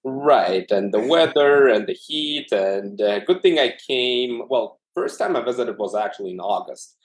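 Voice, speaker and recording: A man from Russia, an anesthesiologist in his thirties, telling his career story in a relaxed way with lots of fillers. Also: medium pitch, unhurried, rough recording. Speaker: anesthesiologist guest. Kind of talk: casual interview conversation.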